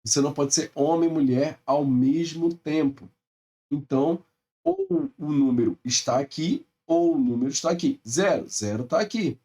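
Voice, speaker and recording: A man's voice: on the high side, lively intonation, moderate pace, warm tone, recorded from a distance. A male math teacher with a Brazilian Portuguese accent, explaining step by step in a recorded lecture and often checking in with his students.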